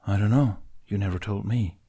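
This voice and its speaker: A man voicing a weary bearded male Jedi who speaks politely and quietly. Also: fairly low pitch, fairly even intonation, moderate pace, breathy edge. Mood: subdued. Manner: polite, subdued. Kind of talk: fan audiobook reading.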